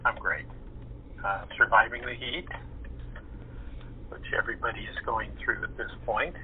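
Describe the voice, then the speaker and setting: A man's voice: fairly low pitch, fairly even intonation. Phone sounding guy, bit of hiss, chatting casually, stops and starts, thoughtful. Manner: halting, reflective. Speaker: voice actor, interview guest. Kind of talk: casual chat. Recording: phone-like line with faint noise.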